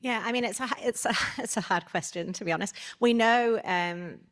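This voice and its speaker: A woman's voice: mid-range voice, normal speed, a bit bright. A woman speaking off the cuff as a researcher chairing questions at a conference. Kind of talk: spontaneous panel answer.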